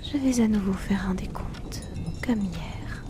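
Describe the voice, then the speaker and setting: A woman voicing an aloof, wealthy hypnotist in a whispered ASMR roleplay, speaking softly and teasingly into a close mic. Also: medium pitch, background noise. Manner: soft teasing whisper, unhurried.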